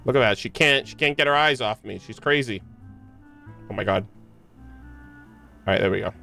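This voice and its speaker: A man doing casual, slangy live game commentary, excitable and swearing freely, sometimes reading game text aloud. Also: mid-range voice, moderate pace, gravelly, faint music behind. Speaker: game streamer.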